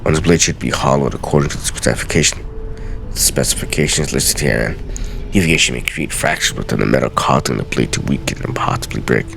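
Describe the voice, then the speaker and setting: A man playing a solemn, brooding scholar reading out secret journal pages in a grave, measured, theatrical way for a game. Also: extremely low pitch, creaky, fairly bright tone, faint music behind, heavily acted. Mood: instructive. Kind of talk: dramatic reading of game lore.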